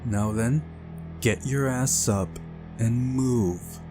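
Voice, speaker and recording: A man's voice: mid-range voice, drawn-out words, music in the background. A man voicing a fiery teenage girl in a visual novel, blunt, exasperated and scolding. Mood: angry scolding.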